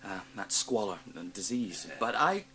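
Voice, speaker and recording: An actor playing an uneasy, principled young student in a radio drama, speaking in a hesitant, questioning way. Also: male voice, lowish voice, big pitch swings, measured pace, pushed and bright, husky, exaggerated voice. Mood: troubled. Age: young adult.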